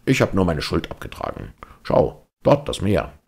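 Man voicing gravelly, deep, loyal storybook dog. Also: huge pitch swings, moderate pace, full character voice. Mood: modest. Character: loyal male dog, modest, kindly friend. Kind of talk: children's audiobook character voice.

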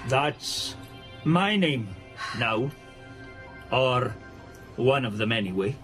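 Taunting, cryptic villain. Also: male voice, medium pitch, expressive, a bit bright, soft background music. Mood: cold menace. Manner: deliberate, taunting. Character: menacing, gloating impostor villain, cold and cryptic. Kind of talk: radio drama acting.

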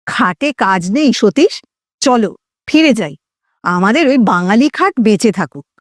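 A woman's voice: on the high side, expressive, unhurried, no room sound, clean studio sound. A woman voicing a wary, reluctant male friend in an audio story, conversational and questioning, teasing but timid. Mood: uneasy pleading.

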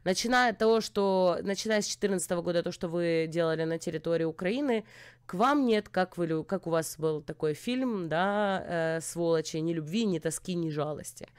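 A woman's voice: lowish voice, fairly quick, clear, resonant tone. A young woman from Ukraine, streaming a chat roulette argument in a sarcastic, lecturing way. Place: dim room with purple light.

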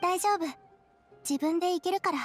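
An actress playing a shy, downcast, reserved young girl in an anime game, soft-spoken and hesitant. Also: extremely high pitch, normal speed, faint music behind. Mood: subdued, apologetic.

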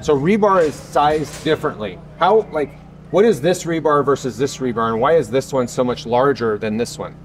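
A man's voice: medium pitch, normal speed, a little hiss or hum. A man in his fifties hosts, asking curious questions and repeating back what he learns, with a mid-range voice. He is recorded outdoors on a construction site with a clip-on mic. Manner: curious, asks follow-up questions, frequent okays. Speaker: host. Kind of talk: interview questions. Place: outdoor construction site by a basement form wall.

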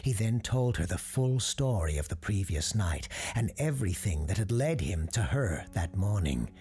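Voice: low voice, big pitch swings, normal speed, warm tone, slightly rough, soft background music. A storyteller giving a scripted narration of a spooky tale. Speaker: storyteller, show host character.

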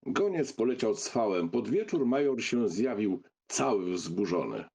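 A man's voice: on the low side, big pitch swings, unhurried, gravelly, a little mellow, slightly rough. A man narrating a novel as a scripted audiobook reading.

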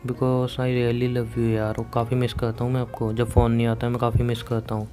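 Young male, Indian accent, soft dark tone, intimate phone chat, probing, light music behind. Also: mid-range voice, completely flat delivery, moderate pace. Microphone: phone call. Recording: phone call recording, faint music.

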